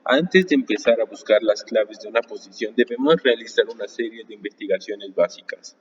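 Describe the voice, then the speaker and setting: Man, live book reader, measured delivery; distant mic, long reverb, dull lo-fi sound. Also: on the low side, slightly rough. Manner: measured reading with occasional restarts. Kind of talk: live reading aloud of a nonfiction book.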